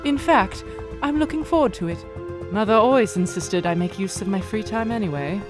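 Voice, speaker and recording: A woman plays a determined young heiress in a radio drama. She speaks at a middle pitch with poised, theatrical diction and cool resolve, with music behind her. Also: expressive, normal speed, close to an everyday voice. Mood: defiant, brooding. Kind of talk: radio play acting.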